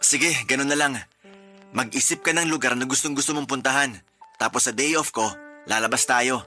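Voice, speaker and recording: A man playing a protective, jealous young husband in a radio drama, speaking calmly and gently persuasively. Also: medium pitch, brisk, soft background music. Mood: tender.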